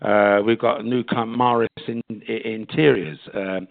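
Man, businesslike, hesitant fillers, lowish voice, phone-like sound. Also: fairly even intonation, normal speed, gravelly. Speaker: digital marketing agency head. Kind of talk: interview answers. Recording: tinny phone-like dry sound.

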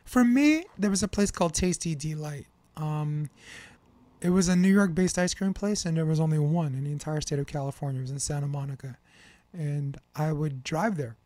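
A man's voice: a little high, moderate pace, a little mellow, no echo. An American man in his fifties hosting a podcast in casual chat, with a slangy, joking way of rambling into advice. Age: 50s. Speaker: podcast host.

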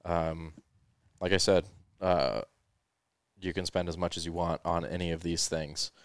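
Male podcast host, low and smooth, laid-back and chatty, recorded close and dry. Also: unhurried, no room sound. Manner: relaxed, rambling, many fillers. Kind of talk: casual explanatory gear talk.

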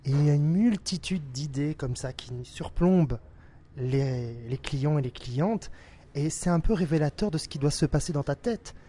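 A male interviewer with a slightly higher, mellow voice. He speaks with effusive praise for his guest before asking each question. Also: moderate pace. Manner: effusive, flattering. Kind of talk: interview host.

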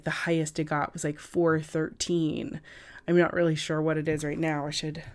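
Low-pitched raspy woman podcaster, slow pace. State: hoarse from a cold and cough. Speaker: podcast host. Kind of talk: casual solo podcast talk. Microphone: cough drops in mouth, podcast recording.

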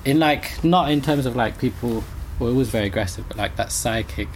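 A man chats reflectively as an interview guest, rambling and halting as he goes. His voice has a gravelly fry to it. There is a light layer of background noise behind him. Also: medium pitch. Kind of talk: casual reflective conversation.

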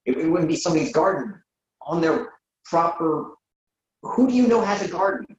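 A man's voice: a little high, lively intonation, moderate pace, lightly acted. A man reading the part of a reasonable, exasperated friend in a late-night comic dialogue, hesitant and stammering in disbelief. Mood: incredulous. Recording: roomy, dull lo-fi sound.

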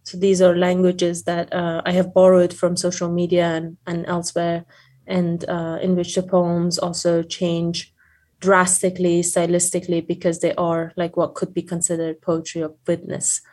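A woman with an Afghan accent and Persian as her first language answers interview questions. Her voice is fairly low and stays quite flat in melody. She speaks reflectively and hesitantly, searching for the right words. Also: moderate pace. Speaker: poet, interview guest.

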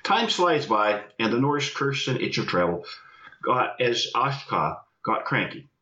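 A man putting on a slow Icelandic-accented poem reader. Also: mid-range voice, sing-song, pushed and bright. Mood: reciting. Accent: put-on Icelandic. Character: man reciting his verse in a put-on Icelandic accent. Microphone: video call. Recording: roomy, dull video call audio.

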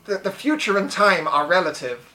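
A man playing a deadpan robot sent from the future to join a podcast. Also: high-pitched, moderate pace. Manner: flat deadpan delivery.

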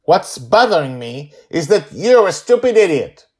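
A man's voice: fairly high pitch, sing-song, normal speed, darkish tone, pitched above the performer's own voice. A man voicing a defiant, angry six-year-old girl in a story, growling with frustration.

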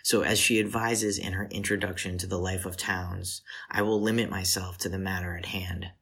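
A man in his forties reading scripted literary text and poetry in measured, deliberate phrases with pauses. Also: low-pitched, level tone, a bit bright, husky. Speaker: poet reading selected poems.

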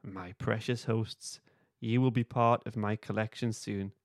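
A man's voice: lowish voice, steady tone, measured pace, no echo, clean studio sound. A man voicing a creepy, obsessive superfan villain who gloats with sinister menace in an amateur comic reading.

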